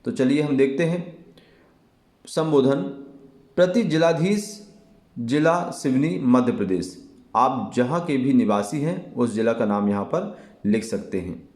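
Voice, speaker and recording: A man teaching an exam lesson in a deliberate, explanatory way, repeating key phrases as he goes. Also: medium pitch, animated, a little mellow. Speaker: Hindi teacher. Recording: echoey, reverberant room.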